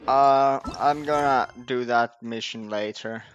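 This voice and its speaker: A man's voice: mid-range voice, slow pace, lo-fi sound. A man giving casual, unscripted gameplay commentary, half muttering to himself as he plays. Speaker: gaming streamer playing Genshin Impact. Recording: noticeable background noise.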